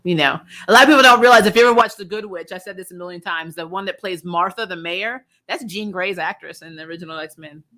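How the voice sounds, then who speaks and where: A woman hosting a casual fan talk, blunt and emphatic, lightly swearing and quick to laugh. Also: lowish voice, bright, forceful tone. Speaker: host of a fan review show. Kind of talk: casual chat, answering viewer comments.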